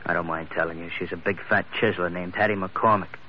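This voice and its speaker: An actor playing a sullen, burly American stowaway bent on revenge, talking tough and slangy in 1940s radio style. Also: male voice, sits low, steady tone, quick pace, close to an everyday voice. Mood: defiant, bitter. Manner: surly, tough, slangy, grudging. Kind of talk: 1940s radio adventure acting. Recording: muffled old radio recording, faint noise.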